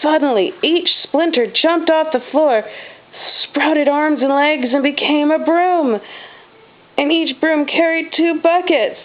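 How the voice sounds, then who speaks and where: A woman reading a children's storybook aloud as a mother does at home, with expressive stress on the dramatic words. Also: high-pitched, slow. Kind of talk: storybook read-aloud narration. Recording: dull, lo-fi home recording.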